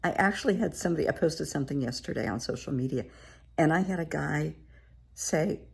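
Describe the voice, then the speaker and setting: Croaky therapist lady with a cold, low gravelly voice, talks straight at you. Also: a little fast. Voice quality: raspy. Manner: frank, encouraging, speaks straight to the viewer. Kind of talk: casual direct-to-camera advice talk. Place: her living room.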